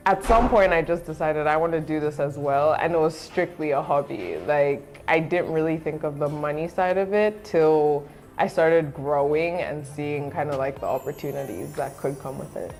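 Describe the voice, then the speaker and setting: A young woman from Zambia, a guest chatting casually in an interview, relaxed and laughing often, with many fillers. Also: deep voice, normal speed, faint music behind. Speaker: guest, content creator.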